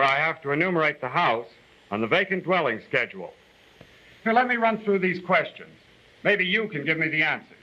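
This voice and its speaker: An actor playing a polite, businesslike male census enumerator in a 1940s training film, speaking formally and deliberately as he explains. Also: on the high side, natural voice. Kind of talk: 1940s instructional film acting. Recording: dull, lo-fi 1940 film soundtrack.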